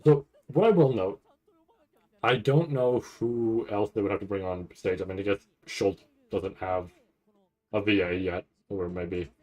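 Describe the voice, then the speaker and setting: Guy streamer, kinda low voice, rambly casual commentary, keeps restarting his sentences. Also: warm tone, rough recording. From Canada. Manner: halting, thinks aloud with repeated restarts. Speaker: streamer reacting to a live anime event.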